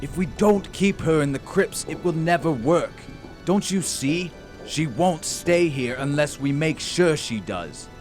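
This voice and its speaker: Gothic radio play madman: high, unhurried, doom-obsessed declaiming over a clear musical score. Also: lively intonation. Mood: grim insistence. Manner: ominous, slow, theatrical declaiming. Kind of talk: gothic audio drama acting. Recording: loud dramatic music underneath.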